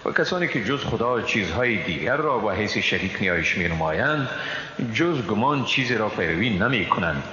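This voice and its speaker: A man with an Afghan Dari accent reads a scripture translation in a formal, solemn, measured way. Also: lowish voice, very animated, pushed and bright. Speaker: translation reader. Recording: reverberant hall, dull, noisy background.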